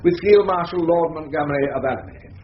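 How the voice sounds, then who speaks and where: An actor playing a pompous English barrister in a radio drama, questioning with mock politeness and insinuation. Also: male voice, fairly high pitch, fast talker, lightly acted. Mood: mock-polite. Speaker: cross-examining barrister. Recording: dull, echoey, noisy radio recording.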